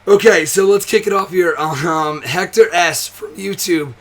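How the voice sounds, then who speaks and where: A hoarse, tired young man hosting a wrestling show, chatting casually to camera with a nearly gone voice, rambling, swearing and restarting often. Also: high-pitched, a little slow. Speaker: wrestling commentary host. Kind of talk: casual to-camera vlog chat.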